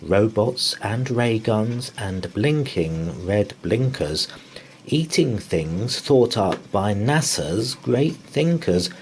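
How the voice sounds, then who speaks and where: A man reading a children's bedtime story aloud in rhyme, with an expressive, theatrical delivery. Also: fairly low pitch, takes it slowly, slight background noise, rough recording.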